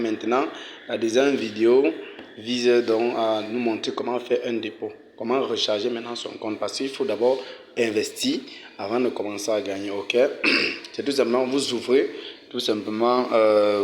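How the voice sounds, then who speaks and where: A man hosting a casual tutorial, walking viewers through each step, often saying "ok" and "euh" and clearing his throat. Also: mid-range voice, slight background noise. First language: French. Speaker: channel host giving a tutorial. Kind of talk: casual screen-recorded walkthrough.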